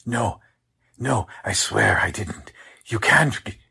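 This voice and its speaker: An actor playing a defensive, flustered small male doctor in a 1940s-style radio mystery, husky and halting. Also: lowish voice, animated, pushed and bright, exaggerated voice. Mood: indignant panic. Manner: halting, indignant, slightly stammering. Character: small suspect male doctor, stage mind reader, flustered.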